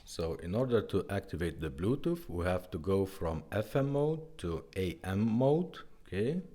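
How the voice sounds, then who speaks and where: A man who speaks English as a second language, giving a casual, halting product demonstration in simple phrases. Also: sits low, very animated, warm tone. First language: not English. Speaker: presenter demonstrating restored car radios.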